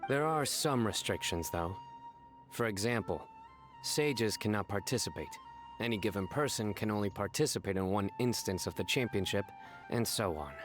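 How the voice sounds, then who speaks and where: A stoic, earnest man from a video game , played by a male actor, with a low voice. He talks formally and completely deadpan, over faint background music. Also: moderate pace, close to an everyday voice. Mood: matter-of-fact.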